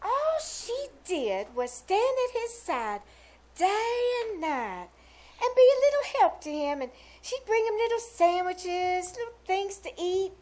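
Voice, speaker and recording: A woman playing a flirtatious, coyly helpless widow who gushes and flatters in a 1940s radio comedy style. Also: very high voice, slow pace, exaggerated voice. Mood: gushing. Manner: gushing, coy, wheedling. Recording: live theater stage performance.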